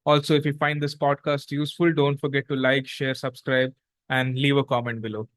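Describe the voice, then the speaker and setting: A man's voice: mid-range voice, flat delivery, fairly quick, fairly bright tone. An Indian-accented man hosting a podcast in a casual interview style. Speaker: podcast host.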